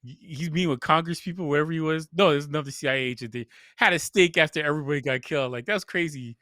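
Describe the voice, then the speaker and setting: A man's voice: fairly high pitch, quick pace, bright, forceful tone, no room sound, studio quality. A man co-hosting a podcast, giving blunt, opinionated film reviews in casual conversation. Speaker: podcast co-host reviewing films.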